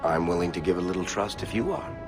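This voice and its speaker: A man's voice: low-pitched, lively intonation, fast talker. An actor voicing a roguish male dwarf in a video game, wry, confident and persuasive. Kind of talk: video game dialogue acting. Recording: game music behind the voice.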